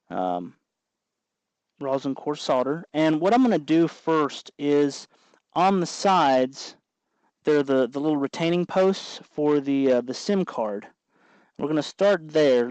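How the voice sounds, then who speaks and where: A man hosting a casual hands-on tutorial, explaining in a halting way as he works. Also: mid-range voice, a little mellow, smooth, no echo, low-quality recording. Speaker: host of a DIY electronics tutorial.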